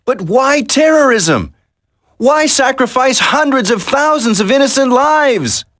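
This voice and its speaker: Resolute young agent: slow, emphatic, earnest line readings, dry studio sound, game drama. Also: male voice, very high-pitched, animated, a bit bright, dry recording, exaggerated voice. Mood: shocked, challenging. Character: earnest, determined young secret agent hero. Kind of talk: dramatic video game voice acting.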